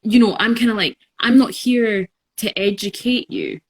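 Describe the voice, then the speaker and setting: A woman in her twenties talking in a relaxed podcast conversation, thoughtful and reflective, with many pauses and fillers. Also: medium pitch, breathy edge, low-quality recording. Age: 20s. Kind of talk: casual conversation.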